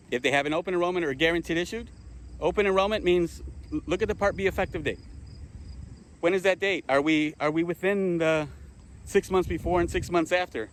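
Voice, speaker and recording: A man's voice: sits high, a bit bright, slight background noise. A man teaching fellow insurance agents in a casual spoken lesson, repeating key terms and checking in with "okay?" as he self-corrects and restarts. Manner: repeats himself, self-corrects, rhetorical questions.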